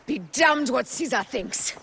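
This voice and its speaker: A woman's voice: sits high, animated, unhurried, gravelly, bright, forceful tone, slight background noise, exaggerated voice. An actress playing a defiant, bitter female warrior, biting and confrontational, in a dramatic game cutscene. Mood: bitter, threatening.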